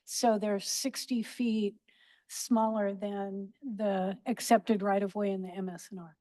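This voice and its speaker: A woman's voice: medium pitch, steady tone, measured pace, warm tone. A woman on a city board asking pointed, detailed questions at a public hearing. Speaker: board member. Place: council chamber.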